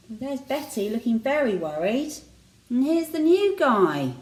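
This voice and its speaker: A woman reads a children's story at a slow, deliberate pace. Her pitch moves in lively swings, and she adds chatty little asides. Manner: expressive, deliberate. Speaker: teacher reading a picture book to children.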